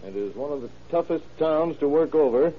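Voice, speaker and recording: An actor playing a wry, needling, eager police detective with a folksy, teasing manner in old-time radio crime drama style. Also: male voice, on the high side, animated, a little fast. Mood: deliberate, reading aloud. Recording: tinny, noisy vintage radio recording.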